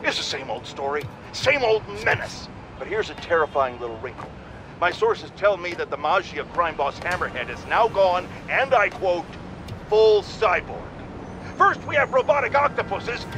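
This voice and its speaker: Pompous talk radio blowhard, middle-aged, irascible, bombastic, wildly swooping delivery, city noise behind. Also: male voice, high-pitched. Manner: bombastic, sarcastic, exaggerated indignation. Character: blustering, irascible, self-important male radio pundit. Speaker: radio talk show host. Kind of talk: opinionated radio rant. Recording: clear background city noise from gameplay.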